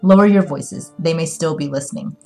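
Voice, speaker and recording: A woman's voice: low voice, brisk, a little mellow, faint music behind. A woman voicing a self-serving, scheming young Chinese man in an audiobook, evasive and defensive. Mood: defensive, accusing.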